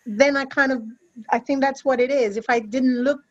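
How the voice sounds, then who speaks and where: A woman's voice: high-pitched, steady tone, moderate pace. A Brazilian-born woman whose first language is Portuguese, speaking English with a British-tinged accent in a reflective, hedging way during a casual roundtable. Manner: reflective, many hedging fillers. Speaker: voice-over artist. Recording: muffled lo-fi audio.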